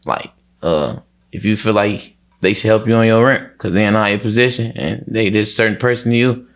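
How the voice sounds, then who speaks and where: A man's voice: on the low side, fairly quick. A man talking casually as an interview guest, in a rambling, repetitive, slangy way with frequent swearing.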